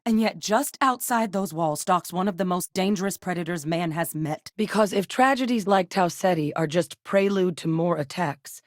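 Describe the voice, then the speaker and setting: A woman voicing a steady, low-voiced commander. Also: moderate pace, hardly any pauses, no echo, clean studio sound, natural voice. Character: steady female military commander, earnest. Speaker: game character. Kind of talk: video game voice lines.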